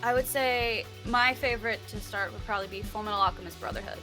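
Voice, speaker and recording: A woman with a fairly high voice talks casually as a guest on a chat show. She is effusive, informal and giggly, and music plays clearly behind her. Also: quick pace. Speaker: interview guest.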